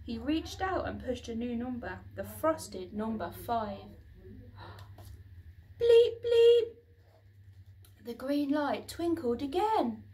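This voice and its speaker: Female children's storyteller: very unhurried, dramatic, expressive read-aloud, slightly distant from the mic. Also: high-pitched, a little hiss or hum. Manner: dramatic, with acted sound words.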